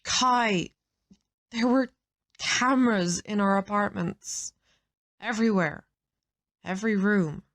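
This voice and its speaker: A woman's voice: mid-range voice, takes it slowly, pauses often, fairly bright tone. A woman acting in an audio drama as a brash, sardonic, hard-bitten assassin who swears freely. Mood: grave, explaining. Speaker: voice actor in an audio roleplay.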